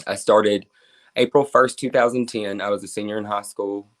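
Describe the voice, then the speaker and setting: A man in his twenties with a Kentucky accent speaks as a guest, with a fairly low voice. He talks openly and at length, often using "like" and "um" as fillers. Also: steady tone, normal speed, a bit bright. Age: 20s. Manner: open and talkative, with frequent fillers. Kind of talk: casual interview, telling his life story.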